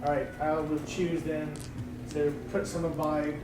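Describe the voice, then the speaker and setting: A man's voice: on the high side, level tone, measured pace, a crowd in the background. A man chatting casually as a player at a tabletop roleplaying game. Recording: roomy, distant mic, background noise.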